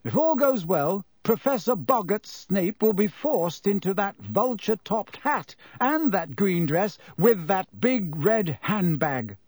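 A man voicing a gentle, patient professor. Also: sits high, big pitch swings, slow pace, hardly any pauses, no room sound, studio quality, voice pushed up, exaggerated voice. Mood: patient teaching. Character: kind, calm middle-aged male professor. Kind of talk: audiobook character voice.

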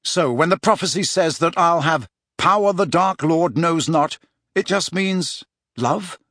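A man as a husky, doubting teenage boy. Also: on the high side, unhurried, fairly bright tone, no echo, clean studio sound, voice pushed up. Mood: puzzled. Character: teenage boy wizard, doubtful, impatient, blunt pupil.

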